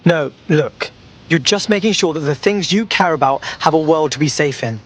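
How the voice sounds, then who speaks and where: Actor as high-voiced cheeky resistance operative. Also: male voice, expressive, normal speed, a little hiss or hum, natural voice. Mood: earnest, urging. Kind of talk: video game cutscene acting.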